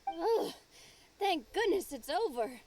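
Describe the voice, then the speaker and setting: A high-voiced, earnest young player. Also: female voice, big pitch swings, slow pace, darkish tone, exaggerated voice. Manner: reasoned, earnest.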